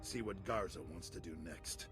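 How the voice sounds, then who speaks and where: A man playing a gruff, world-weary veteran commander in a game, gravelly, curt and sardonic. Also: deep voice, normal speed, lightly acted. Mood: resigned, curt. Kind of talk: video game cutscene acting. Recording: music behind the voice.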